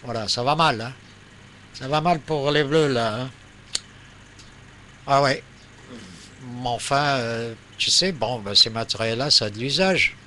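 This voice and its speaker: Bright-voiced man rambling, noisy recording. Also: medium pitch, unhurried, pauses often. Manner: rambling, searching for words. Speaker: amateur radio operator. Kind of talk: casual radio chat.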